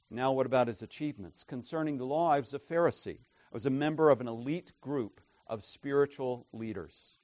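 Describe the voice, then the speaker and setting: A man preaching an expository sermon, emphatic and tagging his points with "right?", his loudness swinging from soft asides to forceful declarations. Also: medium pitch, dry recording. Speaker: pastor.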